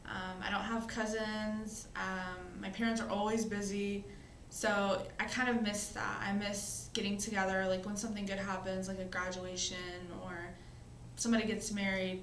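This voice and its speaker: A woman in her twenties, a college student, telling her own story in a relaxed, reflective interview, often pausing with "um" and "you know". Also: mid-range voice, a little hiss or hum. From born in Syria, raised in the US. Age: 20s. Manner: conversational, reflective, frequent "um" and "you know" fillers. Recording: roomy echo.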